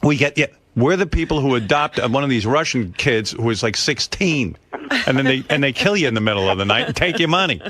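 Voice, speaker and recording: Profane, animated male radio host. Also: mid-range voice, brisk, no echo. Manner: profane, emphatic ranting. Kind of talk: casual radio talk. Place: radio studio. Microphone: studio microphone.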